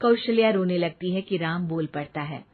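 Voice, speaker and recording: A woman's voice: on the low side, normal speed. A woman reading scripted story narration. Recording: thin phone-like sound, faint noise.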